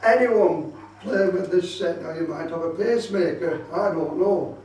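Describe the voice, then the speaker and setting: High-pitched man presenting in a very echoey room. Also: normal speed, darkish tone, a little hiss or hum. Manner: repeats points insistently, explanatory. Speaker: radio club presenter giving a talk. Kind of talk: informal hands-on demonstration talk with safety warnings. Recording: very echoey room, distant microphone.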